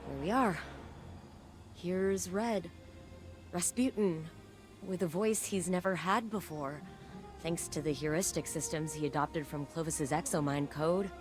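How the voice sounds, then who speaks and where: A low-pitched, earnest scientist, weary and full of regret, acted by a woman. The lines are reflective, scripted video game dialogue, with music playing behind. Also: close to an everyday voice. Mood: shaken, regretful.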